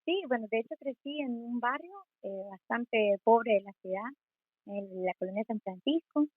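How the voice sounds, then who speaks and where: A young woman in her twenties from Honduras with a Honduran accent, a student activist answering interview questions in a measured, thoughtful way. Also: a little high, husky, no echo. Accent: Honduran Spanish. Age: 20s. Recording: tinny phone-like call audio.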